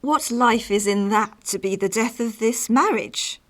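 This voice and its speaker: Lady acting a cold scheming noblewoman, shakespeare radio drama, real slow and measured, spiteful. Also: on the high side, a bit bright. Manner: terse, measured. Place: home recording.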